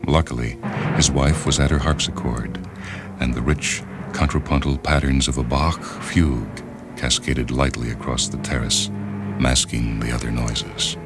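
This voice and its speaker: A man reading literary fiction as a narrator, in a measured, deliberate and solemn dramatic style. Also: very deep voice, steady tone. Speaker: narrator, short story reader. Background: background music.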